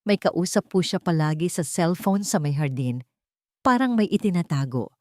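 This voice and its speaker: A woman voicing an elderly male house helper in an audio story, soft-spoken, deferential and gentle in manner. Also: high-pitched, animated, normal speed, dry recording, studio quality. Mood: reluctant, insinuating.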